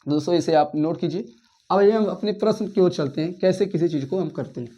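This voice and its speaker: Male teacher, high voice, repetitive question-and-answer lesson delivery. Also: fairly quick, dark tone, highs cut off. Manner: addresses listeners as friends, asks and answers his own questions; counts words aloud, repeats and rephrases points. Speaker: school English teacher. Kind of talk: classroom grammar lesson.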